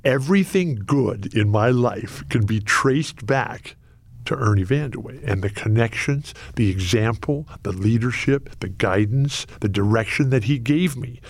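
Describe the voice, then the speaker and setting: A man's voice: mid-range voice, a little slow, gravelly. A man in his sixties who stutters, telling stories energetically as an interview guest. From Los Angeles. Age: 60s. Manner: lifelong stutterer, energetic storytelling. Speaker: radio broadcaster, guest. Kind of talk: interview conversation.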